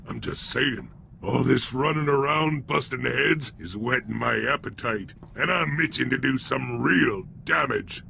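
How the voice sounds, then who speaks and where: An actor playing a brutish, bloodthirsty alien gangster in a video game, with a rough voice and crude, sneering street-thug talk. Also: male voice, mid-range voice, bright, forceful tone, a little hiss or hum, heavily acted. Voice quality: rough, gravelly. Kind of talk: video game character acting.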